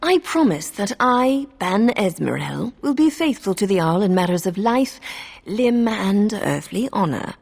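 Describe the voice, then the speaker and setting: A woman playing a haughty, calculating noblewoman in a fantasy game, husky and creaky, with a cold, formal manner. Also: mid-range voice, very animated, a little slow, darkish tone, heavily acted. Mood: solemn. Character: haughty, calculating noblewoman who rules a city. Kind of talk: scripted fantasy game dialogue.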